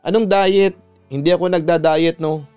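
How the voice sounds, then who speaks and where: Man acting as young Filipina worker, defensive, brushing off worry, clear smooth voice, music behind. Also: a little high, steady tone, normal speed, a little mellow, no room sound, voice pushed up, natural voice. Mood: dismissive. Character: defensive, money-strapped young working woman, the letter sender.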